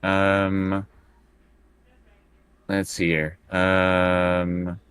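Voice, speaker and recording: A man's voice: sits low, monotone, very slow, a bit bright, clean tone, dry recording. A man chatting casually as a tabletop roleplaying player, thinking aloud and working through his choices bit by bit.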